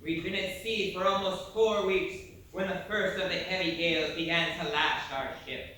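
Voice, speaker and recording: A man acting as an emigrant storyteller, narrating a stage play in a measured, folksy way. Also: high voice, steady tone, bright, forceful tone. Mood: grave storytelling. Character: male Irish emigrant storyteller recalling a perilous voyage. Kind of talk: dramatic scripted stage narration. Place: theatre stage, recorded from a distance. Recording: very echoey hall, distant mic, faint noise.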